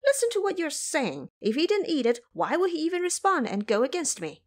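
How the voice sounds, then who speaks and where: A woman voicing a brash, hot-tempered male monkey warrior in an audio drama, shouting and defiant. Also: high voice, huge pitch swings, brisk, voice pushed up. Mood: indignant.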